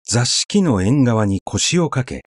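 A man reads literary fiction aloud at a slow, measured pace. His mid-pitched voice moves widely and expressively, yet stays conversational, as though he were simply telling the story. The sound is dry, with little room around it. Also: sing-song, dry recording. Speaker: narrator, literary reader.

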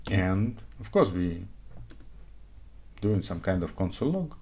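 A man's voice: low-pitched, very animated, measured pace, mellow. A man in his forties who is not a native English speaker, giving a live coding talk at a conference and explaining step by step with frequent "okay?" checks. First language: not English; speaks Hebrew, Russian, Ukrainian. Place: home room at desk.

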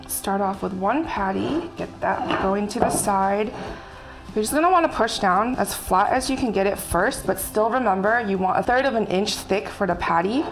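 A young woman chef casually explaining a recipe step by step while she cooks in a bright home kitchen. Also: mid-range voice, normal speed, poor audio. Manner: friendly step-by-step instructions while working. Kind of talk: casual cooking demonstration. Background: background music and sizzling food.

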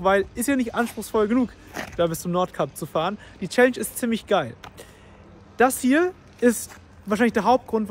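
Chatty high-voiced man vlogging on a road trip. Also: a little hiss or hum. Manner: chatty, enthusiastic, frequent fillers. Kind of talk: casual road trip vlog. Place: in a car and outdoors at charging stations.

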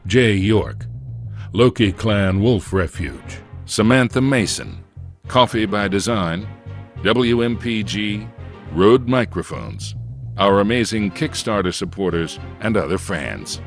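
A man reading scripted production credits as an announcer in a measured, formal way. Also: on the low side, very animated, creaky. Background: faint music.

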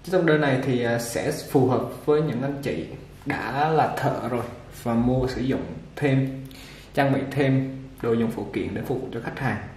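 A man with a mid-range voice walks through an unboxing at an easygoing pace, pausing with fillers while his hands are busy. The room sounds echoey, and a clipper motor buzzes clearly behind him. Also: medium pitch. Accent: Vietnamese. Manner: relaxed, talks while handling the product, many fillers. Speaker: product reviewer for a barber supply shop. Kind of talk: casual unboxing demonstration. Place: indoor shop table with clipper boxes. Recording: echoey room with machine noise. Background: clipper motor buzz and clicks.

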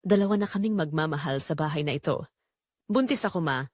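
A woman's voice: low-pitched, expressive, brisk, no echo, studio quality. A woman voicing a cheerful, affectionate young daughter with a Filipino accent in an audio drama. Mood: happy, tender. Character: cheerful young pregnant daughter surprising her mother.

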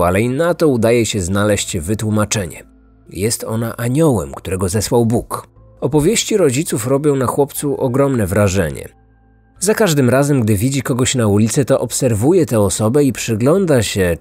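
A man's voice: mid-range voice, darkish tone, slightly rough, no room sound. Male true-crime narrator over music. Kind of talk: scripted dramatic narration. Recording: dry studio voice over music. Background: background music.

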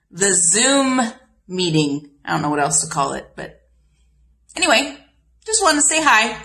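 Bright-voiced middle-aged woman saying hello. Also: medium pitch. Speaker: guest greeting church children. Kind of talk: casual video greeting. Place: office with large windows, indoors.

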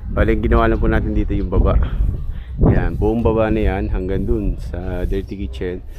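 A man's voice: lowish voice, little pitch movement, moderate pace, narrow, tinny audio, very noisy. Chatty man giving a house tour. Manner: chatty, points things out with repeated fillers. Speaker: contractor showing a renovated house. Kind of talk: casual walkthrough vlog. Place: outdoors on a street and inside an empty house. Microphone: handheld action camera.

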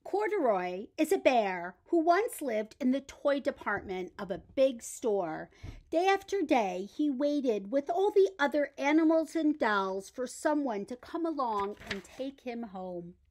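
A woman's voice: high voice, sing-song, a little slow, darkish tone. A middle-aged woman, reading a children's bedtime story expressively. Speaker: storybook reader. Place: indoor room lined with bookshelves.

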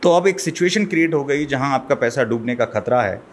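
A man's voice: medium pitch, animated, a little hiss or hum. A middle-aged man as a guest expert, explaining step by step in a studio interview at a desk mic. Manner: measured, explaining, code-mixes English terms. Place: small studio set. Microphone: desk mic on boom arm.

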